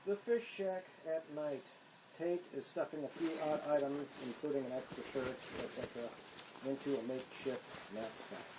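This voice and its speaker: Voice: mid-range voice, fairly even intonation, moderate pace. A narrator reading a drama's stage directions in scripted narration. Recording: clear background noise, room ambience.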